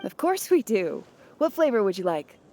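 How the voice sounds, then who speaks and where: An actress playing a cheerful, obliging tavern server in an anime-style video game, polite as if serving customers. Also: female voice, mid-range voice, very animated, moderate pace, mellow, slight background noise.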